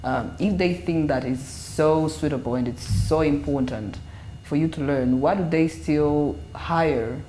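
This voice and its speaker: A woman's voice: low-pitched, measured pace, dark tone, slight background noise. A woman on a discussion panel, posing a probing question that she builds up step by step. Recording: echoey room.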